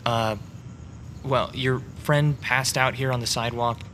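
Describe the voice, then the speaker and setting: An actor playing a helpful, slightly awkward passer-by in an audio drama, speaking hesitantly and kindly. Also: male voice, mid-range voice, steady tone, a little slow, close to an everyday voice. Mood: hesitant, polite. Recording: noisy street ambience behind. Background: street and vehicle noise.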